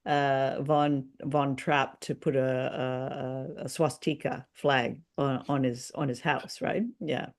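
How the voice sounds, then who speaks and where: Podcast co-host, medium pitch, unscripted and conversational, hesitant phrasing, gently guiding the talk. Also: level tone, slow, few pauses. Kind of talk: casual unscripted discussion.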